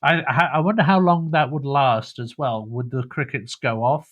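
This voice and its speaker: A man's voice: medium pitch, normal speed, lo-fi sound. A British man hosting a podcast interview, asking long, rambling questions full of restarts. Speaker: podcast host. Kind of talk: conversational interview.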